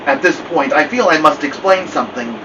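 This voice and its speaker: A man's voice: on the high side, lively intonation, fairly quick, lightly acted. A man voicing a wry, dignified old family dog in first-person storybook narration, with formal, digressive wording. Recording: muffled, distant, noisy room hum.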